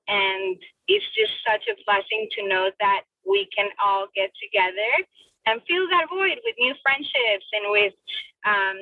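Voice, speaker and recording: A woman's voice: mid-range voice, no echo. Woman on a tinny phone line. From Colombia. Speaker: podcast guest, ministry director. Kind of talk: conversational interview answers. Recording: tinny telephone-like line, distant.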